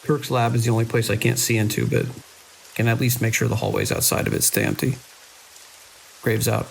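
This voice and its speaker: An actor playing a weary, watchful security chief in an audio drama, speaking in a dry, measured way. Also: male voice, mid-range voice, flat delivery, creaky, lightly acted, low-quality recording. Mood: reflective. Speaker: security lead. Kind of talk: audio drama, logs and radio dialogue. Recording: hiss and rain-like noise.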